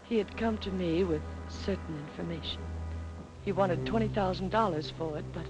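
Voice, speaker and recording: Woman acting a poised suspect, lowish voice over music. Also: natural voice, rough recording. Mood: composed, confessing. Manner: measured, polite, hesitant. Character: poised, earnest young woman under suspicion of murder. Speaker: film actor. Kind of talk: 1940s film noir acting. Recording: 1940s film soundtrack with score behind.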